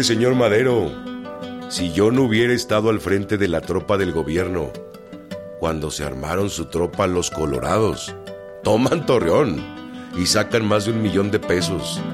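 An actor playing a plain-spoken, wary Mexican revolutionary cavalry commander in a radio drama, talking in a deliberate rustic way. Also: male voice, low-pitched. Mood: firm, proud. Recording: music behind the voice.

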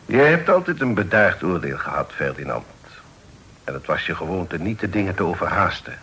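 An actor playing a stern but fair middle-aged father in a period radio drama, speaking formally and in measured, admonishing phrases. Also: low voice, sing-song, bright, forceful tone, husky, exaggerated voice. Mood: grave, reproving. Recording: noisy old radio play recording.

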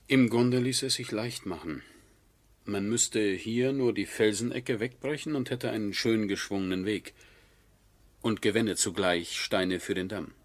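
Captain, male: deep-leaning, slightly rough voice, courteous and exact, measured delivery for a period radio play. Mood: courteous, matter-of-fact. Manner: measured, formal, precise. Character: earnest, courteous military captain with a scientific bent. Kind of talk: 1970s German radio drama acting.

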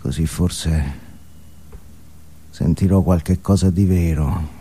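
A man's voice: low voice, slow pace, slight background noise. An actor playing an unhinged, foul-mouthed, alienated man in an intense, volatile comic stage monologue. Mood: bleak, pleading. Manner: intense, volatile, emphatic, swinging from muttering to yelling.

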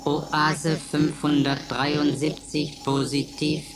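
A woman acting in a radio play as an impersonal control system, making announcements in a flat, slow, official manner. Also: low-pitched, noisy background.

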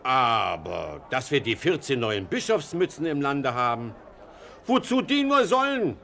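A man's voice: medium pitch, a little slow, gravelly, a little hiss or hum, exaggerated voice. An actor playing a cautious, grumbling townsman in a theatrical 1940s German radio play. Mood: grumbling, indignant. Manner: theatrical, expressive, complaining. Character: cautious, grumbling Flemish townsman worried about the Inquisition. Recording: vintage 1949 radio drama recording.

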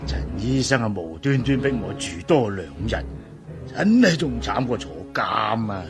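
A man's voice: medium pitch, very animated, moderate pace, over music, lightly acted. An actor playing a fussy, superstitious old male servant in a radio drama, flustered and stammering with frequent sighs, in Hong Kong Cantonese. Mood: grumbling, fretful. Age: older.

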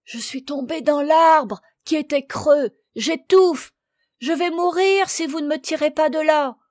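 A woman's voice: high voice, measured pace, darkish tone, no room sound, studio quality, voice pushed up. A woman voicing a desperate young girl in a storybook, pleading and crying out for help. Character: girl trapped in a hollow tree, desperate.

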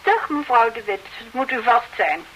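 An actress playing a brisk, businesslike office assistant in a radio play. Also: female voice, on the high side, animated, very noisy, close to an everyday voice. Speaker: office assistant at an advice bureau.

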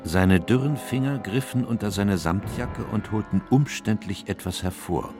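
An actor playing a sober gentleman doctor in a radio detective drama, with a grave, measured way of speaking. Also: on the low side, over music, natural voice. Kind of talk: radio drama narration and dialogue.

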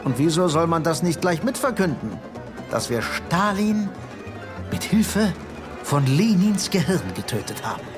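An actor playing a boastful, seductive and fanatical Soviet security chief in a radio drama, theatrical in manner. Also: male voice, very animated, a little slow, music in the background. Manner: theatrical, swinging from booming to hushed.

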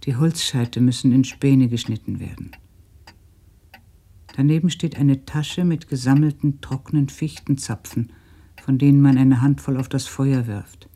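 A man's voice: mid-range voice, unhurried, dark tone, breathy edge. An actor playing a sober, subdued village man who describes daily life plainly in a literary radio play.

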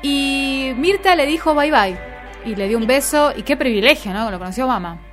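A woman with an Argentine accent hosts a radio programme. Her voice sits fairly high and she talks informally, as if among colleagues. Soft music plays behind her. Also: expressive, normal speed. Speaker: radio show host. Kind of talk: casual radio chat about the week's news. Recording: music bed under the voice.

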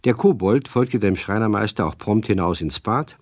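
A man narrating a children's radio play as a measured, expressive storyteller reading a script. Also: lowish voice, no room sound, clean studio sound.

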